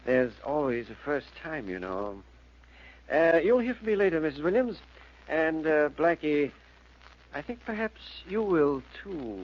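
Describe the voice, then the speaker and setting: An actor playing a shrewd, suspicious, insinuating insurance investigator in 1940s radio style. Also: male voice, fairly high pitch, very animated, measured pace. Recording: dull old radio recording, faint noise.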